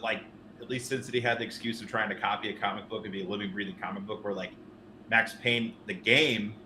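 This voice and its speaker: A bright, forceful male voice in a roomy, echoing space with faint noise. He co-hosts a casual podcast, rambling through his opinions in an animated way and swearing as he goes. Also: lowish voice. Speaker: podcast co-host. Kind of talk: casual film and game discussion. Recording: roomy echo with background noise.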